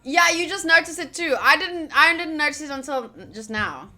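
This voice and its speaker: A woman streaming a visual novel playthrough, reading the text aloud with playful, expressive, casual reactions and some swearing. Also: sits high, moderate pace, faint music behind. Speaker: game streamer.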